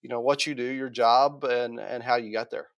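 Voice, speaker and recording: A man's voice: medium pitch, fairly even intonation, dull audio. Easygoing male podcast host. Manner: affirming, drawn-out explanatory asides. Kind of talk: casual interview chat.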